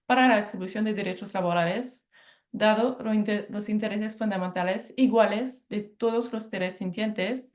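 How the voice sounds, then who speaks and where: A French woman speaking Spanish with a French accent, giving a measured academic presentation and correcting herself on harder words. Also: medium pitch, darkish tone. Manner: measured, careful, with self-corrections on hard words. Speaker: lecturer.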